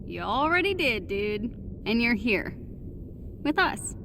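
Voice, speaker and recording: A woman's voice: a little high, very animated, slow, noisy background, heavily acted. A woman playing an upbeat young rookie superhero in a video game, with an American accent and an informal, frank, teasing delivery. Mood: frank, encouraging. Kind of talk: naturalistic video game voice acting.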